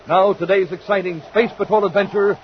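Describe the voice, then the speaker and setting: A man announcing and narrating in emphatic, deliberate 1950s radio style, scripted and dramatic. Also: high-pitched, fairly even intonation. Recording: dull 1950s radio recording, faint noise.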